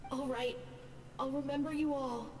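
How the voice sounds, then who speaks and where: A woman voicing a polite, lonely, gentle child ghost boy in a video game. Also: high voice, fairly even intonation, normal speed, recorded from a distance, slight background noise, close to an everyday voice. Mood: grateful, sad.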